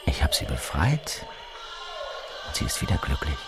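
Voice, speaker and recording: An actor playing a hard-edged police detective in a radio play, talking in a dry, clipped way. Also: male voice, a bass voice, very animated, normal speed, exaggerated voice. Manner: dry, clipped, telling a violent story as a bedtime tale. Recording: heavy music under voice.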